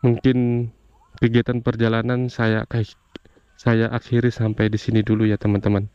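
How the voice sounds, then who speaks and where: A man hosting a motorbike vlog, narrating casually while riding outdoors, in unhurried, drawn-out phrases that often address his viewers. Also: mid-range voice, completely flat delivery, clean tone, no room sound. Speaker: motovlog host. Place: outdoors on a motorbike on rural roads. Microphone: action camera on the bike. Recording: dull lo-fi audio with road and engine noise.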